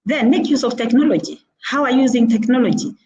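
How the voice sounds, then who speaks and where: A woman's voice: fairly high pitch, fast talker, dark tone, lo-fi sound, slight background noise. A middle-aged woman with a Kenyan accent giving an earnest talk on networking, repeating her points with frequent hesitations. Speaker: motivational speaker. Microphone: video call.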